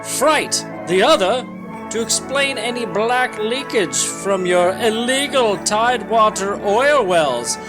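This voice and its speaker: A man voicing a heroic, indignant deep-sea action hero from a golden-age comic, with declamatory, melodramatic delivery. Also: animated, slow, loud music behind the voice, poor audio. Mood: triumphant.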